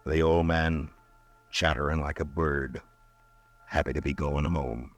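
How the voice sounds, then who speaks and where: An actor in a 1950s radio western playing a gentle, stammering frontier marshal who also narrates the story. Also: male voice, a bass voice, fairly even intonation, unhurried, faint music behind. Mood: reflective. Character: weary, kindly frontier marshal, grown man.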